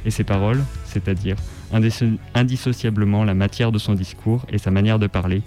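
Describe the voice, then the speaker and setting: A man reading a written text aloud on the radio in a measured, articulate, declamatory way. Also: fairly low pitch, fairly even intonation, dark tone, faint music behind. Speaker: radio reader. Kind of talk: scripted literary reading aloud.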